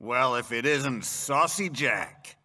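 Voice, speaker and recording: An actor playing a smug, bombastic American politician villain in a video game, with a taunting, theatrical drawl. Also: male voice, mid-range voice, animated, slow pace, pushed and bright, in a large hall, heavily acted. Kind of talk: video game cutscene acting.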